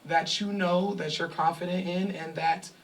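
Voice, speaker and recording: A woman in her thirties from California talks openly to a live audience at an event. She is animated and often breaks into laughter. Her voice sounds somewhat distant from the microphone. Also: lowish voice, normal speed. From Sacramento, California. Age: 30s. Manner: animated, laughing, loud bursts of excitement. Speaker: online creator speaking at fan event. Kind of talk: candid talk to a live audience.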